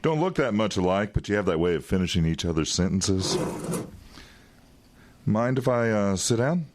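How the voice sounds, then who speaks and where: An actor playing a wry, quietly menacing intelligence agent in an audio drama, talking in an insinuating, condescending way. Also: male voice, fairly low pitch, lively intonation, moderate pace, background noise, natural voice. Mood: wry, disarming. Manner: insinuating, condescending, with chuckles and hesitations.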